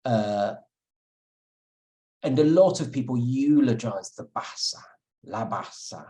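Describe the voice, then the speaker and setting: A man's voice: medium pitch, animated, takes it slowly, mellow. A man, an author being interviewed, answering thoughtfully with many restarts and fillers. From England.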